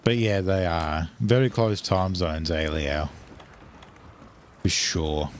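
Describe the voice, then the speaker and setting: A young Australian man swearing freely and reacting loudly as he chats while streaming a game. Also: deep voice, slow, slight background noise. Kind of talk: casual live game commentary.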